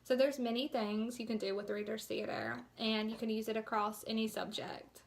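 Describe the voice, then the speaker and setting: Young woman doing a class presentation, kinda high voice, lots of vocal fry, slightly unsure explaining. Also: steady tone, normal speed. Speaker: student teacher presenting. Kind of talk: informal instructional presentation.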